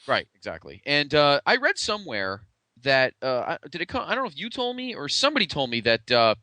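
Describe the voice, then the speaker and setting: A man's voice: fairly high pitch, huge pitch swings, fairly quick, pushed and bright, no room sound. A man co-hosting a podcast in casual, conversational retrospective chat, with a blunt way of talking. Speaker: podcast co-host.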